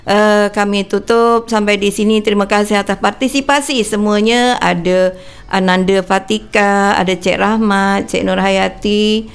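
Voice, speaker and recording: A woman with a gravelly, creaky edge to her voice co-hosts a casual radio chat. She swaps rhymed verses with her partner, laughs often and stops on small fillers as she goes. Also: medium pitch, fairly even intonation, a little slow. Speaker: radio co-host.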